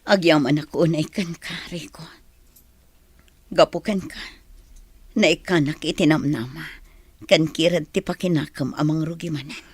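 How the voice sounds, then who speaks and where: Husky, sorrowful woman played by an actress. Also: low voice, steady tone, normal speed, long pauses, no room sound. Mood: grateful, grieving. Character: sorrowful, reproachful but forgiving woman. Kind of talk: radio drama acting.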